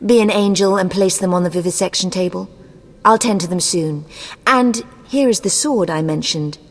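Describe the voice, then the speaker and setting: A woman plays a haughty, scheming sorceress from a fantasy game. Her lowish voice is cool and imperious, ringing with long reverb. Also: normal speed, natural voice. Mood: cool, evasive. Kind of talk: video game dialogue acting. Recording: long echoing hall reverb.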